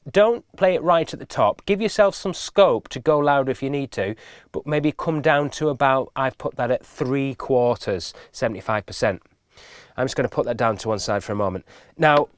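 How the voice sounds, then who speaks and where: A man talks through a practical demonstration in an informal, patient way, step by step. His mid-pitched voice is recorded close and dry, with very little room sound. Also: no room sound. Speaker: instructor. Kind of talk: casual spoken hands-on tutorial.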